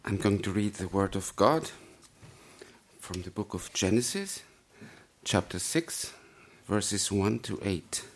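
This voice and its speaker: A man with a Greek accent, a native Greek speaker, reading scripture aloud in church in a measured, deliberate way. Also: lowish voice, expressive, slight background noise. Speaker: scripture reader.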